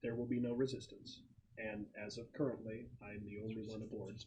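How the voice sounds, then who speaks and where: A man running a tabletop game as game master, narrating casually in a halting, conversational way. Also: fairly low pitch, flat delivery. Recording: noisy room recording with clicks.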